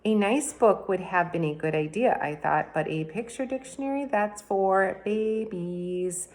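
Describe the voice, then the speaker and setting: A woman reading a children's book aloud in a plain, even storytelling manner. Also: medium pitch, measured pace. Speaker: reader.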